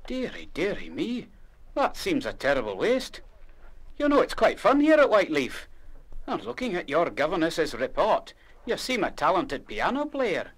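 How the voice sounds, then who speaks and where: An actor playing a kindly Scottish music master in a radio play, with a gentle, coaxing and encouraging manner. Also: male voice, high voice, wildly swooping pitch, moderate pace, heavily acted. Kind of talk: full-cast radio play acting.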